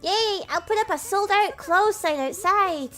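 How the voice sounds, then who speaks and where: A woman's voice: extremely high pitch, measured pace, fairly bright tone, faint music behind, voice pushed up. A woman voicing a cheerful, childlike young cat girl waitress in a visual novel, eager to help. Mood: excited.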